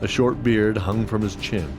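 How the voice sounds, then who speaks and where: Deep-voiced guy narrating a fantasy audio story, scripted, music behind him. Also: unhurried.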